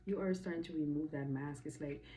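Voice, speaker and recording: A woman's voice: low-pitched, brisk, mellow. A woman from the Caribbean giving a casual spoken tarot reading, emphatic and chatty, repeating affirmations with playful asides. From Caribbean, Dominican. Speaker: tarot reader.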